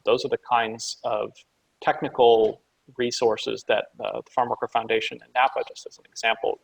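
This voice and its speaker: A man on a video call panel, explaining in a halting way with many fillers and restarts. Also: mid-range voice, vocal fry, a bit bright, poor audio.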